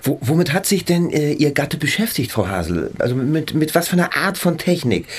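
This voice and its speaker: An actor playing an awkward, nosy small-town newspaper reporter in a radio play, stammering and over-polite. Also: male voice, on the high side, very animated, fairly quick, a little hiss or hum. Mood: awkward, probing. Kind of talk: German radio play acting.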